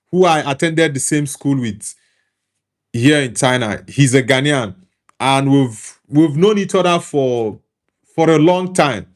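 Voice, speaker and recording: Guy with a slightly high voice, casual football chat, stops and restarts a lot. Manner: hesitant, restarts often, says "like". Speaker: show host. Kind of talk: casual commentary.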